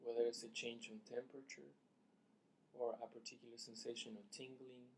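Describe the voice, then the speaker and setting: A man leading a guided meditation, speaking softly and deliberately in short phrases with long pauses, as a teacher giving calm instructions. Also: mid-range voice, slight background noise, low-quality recording.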